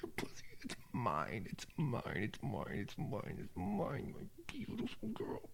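A man speaking in an intimate, whispered audio roleplay, breathy and murmuring, with frequent sighs. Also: mid-range voice, wildly swooping pitch, measured pace.

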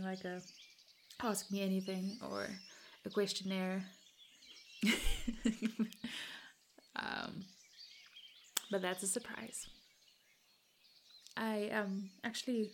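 A South African woman in her twenties whose first language is Afrikaans. She chats to a follower in a giggly, hesitant, soft-spoken roleplay and sometimes drops to a whisper. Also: mid-range voice, creaky, a little hiss or hum. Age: 20s. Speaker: content creator addressing a follower. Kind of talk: roleplay chat to the listener.

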